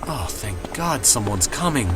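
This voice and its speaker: A man's voice: on the low side, very animated, measured pace, over loud music. An actor playing a nervous, polite male traveller in an audio drama, hesitant and anxious. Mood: anxious, exasperated.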